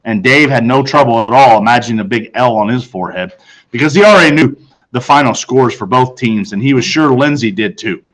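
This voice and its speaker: A man reading a children's story as expressive scripted narration, dramatic and with deliberate pauses. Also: medium pitch, rough recording. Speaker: audiobook narrator.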